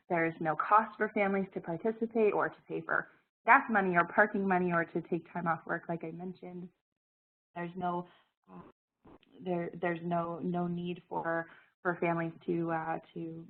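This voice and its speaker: A woman with a cold talks through a presentation, her voice scratchy and a little low. She hesitates and restarts often. The sound is narrow and thin like a phone line. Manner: hesitant, many fillers and restarts. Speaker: presenter, program director. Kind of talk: information session presentation. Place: Halifax, Canada.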